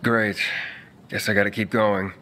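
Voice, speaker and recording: A man voicing a calm, matter-of-fact wanderer carrying orders. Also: fairly low pitch, a little slow, slight background noise. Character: steady male wanderer, calm, dry. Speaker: messenger, player character. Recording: cutscene with faint score music.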